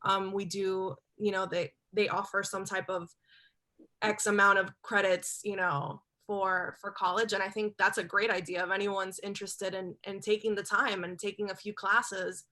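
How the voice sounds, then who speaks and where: A woman's voice: mid-range voice, flat delivery, normal speed, bright, forceful tone. A woman from Cuba, a TV journalist speaking candidly on a panel discussion over a video call. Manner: candid, conversational, repeats words.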